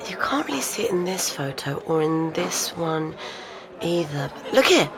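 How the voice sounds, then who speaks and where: Analytical woman expert, lowish animated voice, informal, thinking aloud, audio drama, people chattering behind. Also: a crowd in the background. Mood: focused, intrigued. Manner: informal, analytical, halting as she reasons. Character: sharp-eyed, analytical woman expert, self-assured yet informal. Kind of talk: naturalistic audio drama dialogue.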